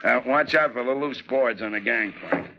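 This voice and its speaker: An actor playing a gruff, cynical, hot-tempered veteran sailor, blunt and slangy, in a 1940s radio drama. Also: male voice, medium pitch, normal speed, background noise, lightly acted. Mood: cynical, curt. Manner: blunt, slangy, tough-guy. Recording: muffled 1940s radio recording.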